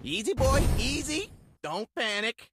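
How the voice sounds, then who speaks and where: A woman's voice: a little high, big pitch swings, a little slow, heavy background noise. A woman voicing a small, cocky, greedy male creature trader for a video game, with a brash, taunting, theatrical manner. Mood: soothing. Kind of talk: video game character voice lines.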